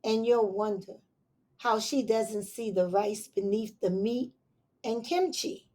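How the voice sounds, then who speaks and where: Slow, gentle storytime teacher, woman. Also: on the high side. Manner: slow, expressive storytime reading with soft asides to listeners. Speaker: elementary school teacher. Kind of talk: reading a picture book aloud to young children.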